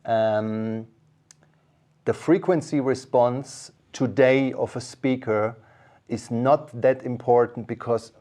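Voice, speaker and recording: A man with a medium-pitched voice talks as a casual interviewer. He feels his way through each thought, rephrasing it as he goes. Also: steady tone, slow, dark tone.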